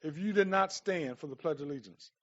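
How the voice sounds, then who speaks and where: Fast-talking man, probing and interrupting. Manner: probing, interrupting, repeats question openings. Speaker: talk show participant. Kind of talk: casual chat. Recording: dull, muffled low-fidelity audio.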